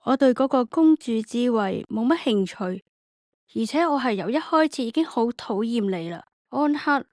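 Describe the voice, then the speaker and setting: A haughty noblewoman of radio drama, played by a woman. She is scornful and confident, in a somewhat high voice with a dry, close sound. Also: animated, moderate pace, a little mellow, no echo, close to an everyday voice. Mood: scornful, defiant. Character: defiant noblewoman openly scorning a king. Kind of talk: Chinese radio drama acting.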